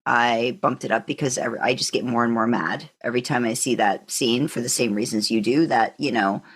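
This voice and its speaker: Low-pitched woman in casual fan-podcast chat. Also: fairly quick, breathy edge. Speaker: podcast co-host.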